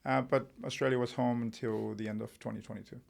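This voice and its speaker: A middle-aged man from Uruguay, raised in Spain, telling his story as an interview guest in a relaxed way, with many fillers and restarts. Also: medium pitch, fast talker, vocal fry. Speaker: entrepreneur guest. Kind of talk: podcast interview.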